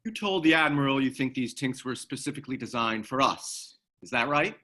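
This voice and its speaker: A man playing a sharp-edged military commander in his forties, terse and questioning, at a screenplay table read. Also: mid-range voice, expressive, a little fast. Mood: terse, serious.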